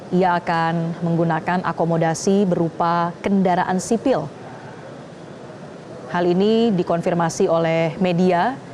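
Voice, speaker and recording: A woman with an Indonesian accent anchoring live breaking news in a formal, measured way. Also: on the low side, darkish tone, a little hiss or hum.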